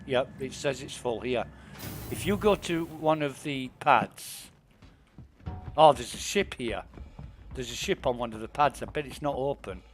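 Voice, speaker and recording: A man's voice: medium pitch, unhurried, soft background music. Yorkshire man giving patient directions. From England. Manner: patient, explains directions step by step. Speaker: streamer. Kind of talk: casual gaming chat with friends.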